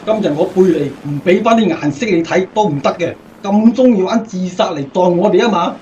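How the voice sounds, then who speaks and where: Man acting high-voiced, fiery bomber gunner. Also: brisk, a little mellow, far from the mic, highs cut off, slight background noise, lightly acted. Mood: furious, defiant. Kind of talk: radio drama acting.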